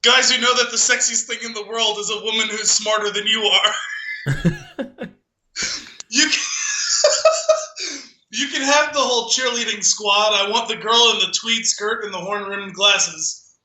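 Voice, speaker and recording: A man's voice: sits high, a little slow, long pauses, bright, forceful tone, roomy sound, low-quality recording. A man co-hosting a podcast with an amused manner, in casual talk and reading aloud. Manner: amused, often breaking into laughter while reading. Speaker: podcast co-host. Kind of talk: casual chat with dramatic poem reading.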